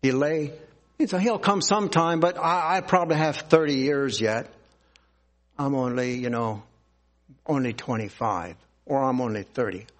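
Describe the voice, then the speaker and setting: A man preaching a sermon, reading scripture aloud and explaining it in a deliberate, earnest way. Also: mid-range voice.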